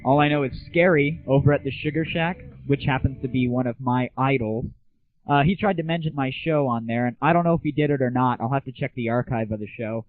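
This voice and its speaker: A man's voice: mid-range voice, brisk. A young man hosting an internet radio show, talking casually about gaming in an irreverent, sarcastic, slangy way. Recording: tinny, phone-like 1990s internet audio, roomless.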